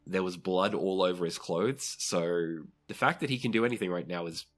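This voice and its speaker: Tabletop game master, low-pitched voice, vivid and dramatic in describing the action. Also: moderate pace. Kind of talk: narrating a tabletop role-playing game.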